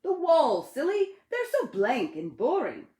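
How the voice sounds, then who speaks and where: A woman's voice: sits high, measured pace, pitched above the performer's own voice, exaggerated voice. Woman voicing an exuberant, swooping imaginary friend. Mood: excited, mischievous. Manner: excitable, exaggerated. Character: exuberant, mischievous male imaginary friend, booming and playful. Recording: roomy, echoey sound.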